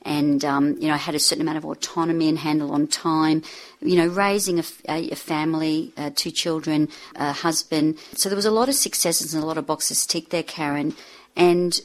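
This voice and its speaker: A woman in her fifties talks as a guest in a reflective manner. Her voice sits low for a woman. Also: steady tone, fairly quick. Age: 50s. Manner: reflective, frequent fillers and restarts. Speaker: author and speaker, guest. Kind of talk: interview conversation.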